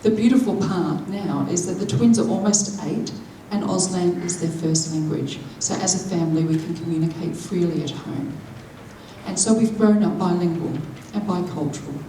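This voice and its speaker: A woman, interpreting a deaf speaker's keynote into spoken English in the first person, with a measured manner that draws in the audience. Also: on the low side, warm tone, a little hiss or hum. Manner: measured, engaging the audience with questions. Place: large conference hall. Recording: echoey hall, voice picked up from a distance.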